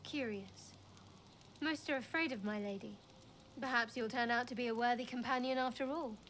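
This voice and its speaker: Actress as a guarded, higher-voiced young priestess. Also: female voice, a bit bright, a little hiss or hum, close to an everyday voice. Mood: guarded, wry. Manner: measured, cool, guarded. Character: guarded, devout young woman cleric of a dark goddess. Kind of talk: video game dialogue acting.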